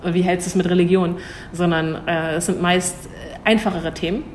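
A young woman answering interview questions in a thoughtful way, hesitating and restarting, quick to laugh. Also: lowish voice, fairly even intonation, slight background noise. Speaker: cultural attaché at an embassy.

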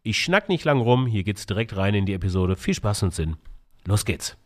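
A man's voice: on the low side, very animated, normal speed. A man hosting a podcast, talking informally and jokingly in a casual interview style. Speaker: podcast host.

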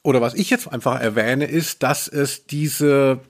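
A man's voice: mid-range voice, measured pace. A man with speech co-hosting a podcast in casual conversation. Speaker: podcast host.

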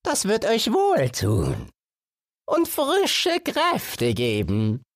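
Man as wicked old storybook witch, sly, wheedling, raised pitch, very slow, scheming. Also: huge pitch swings, no echo, studio quality, heavily acted. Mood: wheedling, falsely sweet.

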